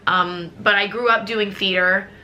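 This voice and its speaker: Bright, chatty American woman vlogger. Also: mid-range voice, fairly even intonation, a little slow, low-quality recording. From Chicago suburbs, USA. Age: 20s. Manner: rambling, upbeat, lots of fillers and restarts. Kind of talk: casual chatty vlog talk.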